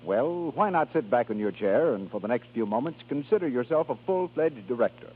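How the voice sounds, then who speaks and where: A man announcing in a scripted 1930s radio style, delivering continuity and sales pitches. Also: lively intonation, a little fast, slightly rough. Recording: tinny 1930s radio recording.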